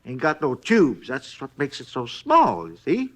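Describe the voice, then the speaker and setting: Folksy male night clerk, 1950s radio drama: lively swings in pitch, easily sidetracked, rustic drawl. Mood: chatty, proud. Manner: rambling, folksy, unhurried storytelling. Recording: vintage 1950s radio recording.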